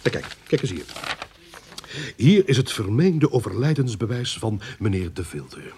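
A man's voice: medium pitch, very animated, normal speed, warm tone, slightly rough, full character voice. A man acting a blustering, sarcastic police inspector in a radio play, browbeating and theatrical. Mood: biting mockery. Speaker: radio drama actor.

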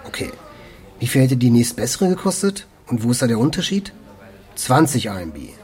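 An actor playing a bemused, incredulous German expat, telling chatty anecdotes and acting out both sides of conversations. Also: male voice, mid-range voice, very animated, fairly quick, a little mellow, close to an everyday voice. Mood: incredulous, recounting. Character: bemused, incredulous German expat grumbling about local habits. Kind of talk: documentary audio drama, casual anecdote.

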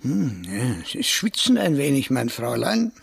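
A drunken, spiteful man, very husky and cracked with fry. His mocking, leering delivery swings widely in pitch, as in a radio play. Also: wildly swooping pitch, a little slow, heavily acted. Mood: leering mockery. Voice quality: very husky, creaky. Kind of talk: radio drama acting.

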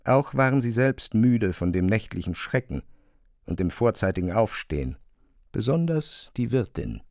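A man narrating a literary radio play in measured, articulate phrasing through long sentences. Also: lowish voice, sing-song, a little mellow, no room sound, clean studio sound.

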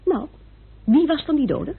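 An actress playing a sharp, insistent woman reasoning out a murder case in a vintage Dutch radio play, with a muffled recording. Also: high voice, very animated, measured pace, creaky, full character voice. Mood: insistent, brisk.

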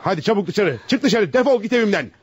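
An actor playing an indignant, wounded psychologist in a radio play, speaking with scornful emphasis and formal diction. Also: male voice, sits high, sing-song, fast, breathy edge, dry recording, exaggerated voice. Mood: outraged. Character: indignant, wounded male psychologist, educated and lovestruck. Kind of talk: Turkish radio theater acting. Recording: dull lo-fi radio recording.

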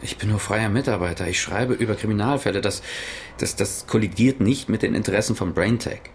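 A man's voice: on the low side, fairly quick, slight background noise, natural voice. An actor playing an evasive man in a radio play, defending himself under questioning and stammering. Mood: defensive. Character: evasive male press writer under questioning.

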